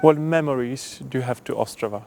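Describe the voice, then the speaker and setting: A man's voice: medium pitch, brisk, creaky, noisy background. A male interviewer asking brief, formal questions. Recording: music playing under the voice.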